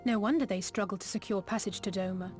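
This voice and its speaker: A blunt young adventurer from a video game, played by a woman, with a medium female pitch. She sounds sceptical and direct, and light music plays under the voice. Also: quick pace, darkish tone, lightly acted. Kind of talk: video game character acting.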